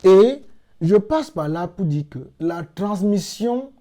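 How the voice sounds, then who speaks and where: A middle-aged man from Cameroon answering interview questions in a measured, explanatory way with emphatic repetitions. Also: high voice, lively intonation, warm tone. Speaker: interviewed guest, language teacher.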